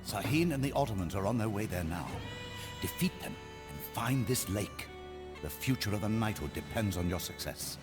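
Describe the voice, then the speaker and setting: A man as a deep, grave knightly lord. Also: animated, normal speed, over music, lightly acted. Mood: commanding, confident. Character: grave older knightly lord, learned and authoritative. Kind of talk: historical strategy game cutscene acting.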